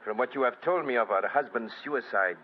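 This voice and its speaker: An actor playing a confident French police inspector in an old radio drama, formal and deliberate, with a French accent. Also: male voice, mid-range voice, lightly acted. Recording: lo-fi old radio recording, faint music.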